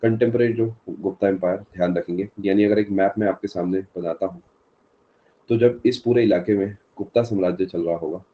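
A man's voice: low voice, fairly even intonation, brisk, narrow, tinny audio. A male teacher with a sore throat giving a live exam-prep lecture, answering students from the chat by name and mixing in English terms.

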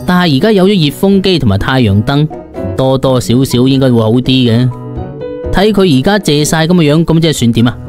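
A man's voice: medium pitch, animated, brisk, over music. A man with a Cantonese accent narrating a first-person audiobook in a colloquial, dramatised storytelling style.

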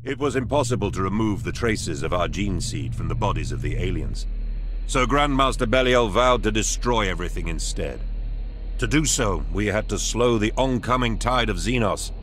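An actor playing a grim space marine who recounts a desperate war in solemn, measured video game narration. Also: male voice, lowish voice, lively intonation, a bit bright, faint music behind. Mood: grim, foreboding. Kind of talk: scripted dramatic game cutscene narration.

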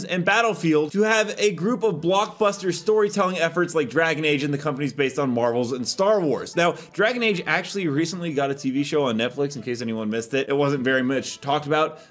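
A man's voice: on the high side, fairly bright tone. A young man delivering casual gaming news commentary as a voice-over, conversational with chatty asides.

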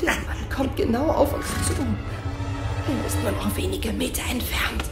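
Woman playing high-voiced excitable fairy-tale boy. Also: normal speed, loud music behind the voice, lightly acted. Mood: tense. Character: young boy Hans, excitable, anxious companion in a fairy tale. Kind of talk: German audio drama acting.

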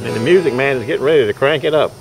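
A man's voice: mid-range voice, racing, vocal fry, soft background music, low-quality recording. Chatty older man at an outdoor market. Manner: chatty, folksy, laughs often. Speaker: knife sharpener vlogger. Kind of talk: casual running commentary. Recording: faint outdoor noise.

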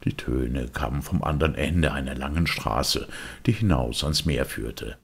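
Male audiobook narrator, low pitch, gravelly, children's storytelling. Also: lively intonation, unhurried.

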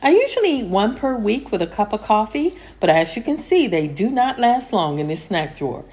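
A woman narrating a home cleaning vlog as a calm, scripted voice-over, steady and homey, with lines that fall at the end. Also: medium pitch, fairly quick. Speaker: cleaning vlogger.

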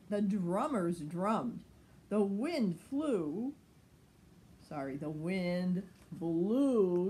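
A woman's voice: lowish voice, warm tone, slight background noise. A woman in her sixties reading a children's picture book expressively, lingering dramatically on words. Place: sunny room at home by large window.

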